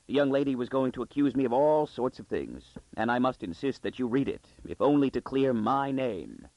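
A man's voice: medium pitch, expressive, normal speed, dry recording, muffled recording, natural voice. A man voicing a wry young male intruder in a storybook, gritty and sardonic with mocking courtesy. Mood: relieved, teasing.

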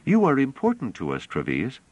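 A man voicing a calm young woman who explains patiently and earnestly. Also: mid-range voice, normal speed, no room sound, highs cut off, pitched above the performer's own voice.

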